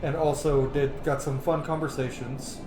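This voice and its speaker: Casting: male gaming host, mid-pitched, slangy and informal, thinking aloud in a roomy space over game music. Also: level tone, moderate pace. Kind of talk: casual gameplay commentary. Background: video game music.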